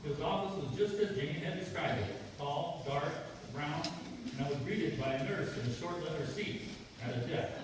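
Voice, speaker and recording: A man's voice: a little high, moderate pace, natural voice. A man playing a dry, wisecracking, exasperated husband in old-time radio comedy style. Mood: narrating. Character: exasperated, deadpan husband posing as his wife, narrating. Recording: noisy echoey hall from far off.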